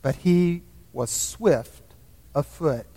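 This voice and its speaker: A man voicing a great, wise male lion who speaks slowly in a calm, commanding hush. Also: fairly high pitch, gravelly, pitched below the performer's own voice, heavily acted. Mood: solemn. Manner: slow, solemn, hushed. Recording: long hall reverb.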